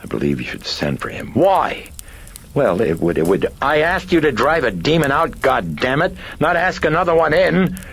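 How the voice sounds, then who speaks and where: A man's voice: a little high, wildly swooping pitch, moderate pace, a bit bright, slight background noise, voice pushed up, exaggerated voice. A man voicing a distraught middle-aged mother who shrieks and sobs near hysteria. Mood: hysterical. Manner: shrieking, sobbing, pleading.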